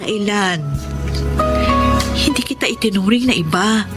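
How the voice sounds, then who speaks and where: An actress playing a firm but caring elder sister in a radio drama, earnest and admonishing. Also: female voice, mid-range voice, expressive, unhurried, natural voice. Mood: firm, heartfelt. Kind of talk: Filipino radio drama acting. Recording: loud music bed behind voice.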